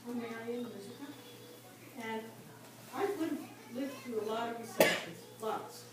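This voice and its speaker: A woman's voice: on the high side, a little slow, very noisy. Older woman questioning from the audience. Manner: pointed, insistent. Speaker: audience member asking a question. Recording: echoey hall from far off.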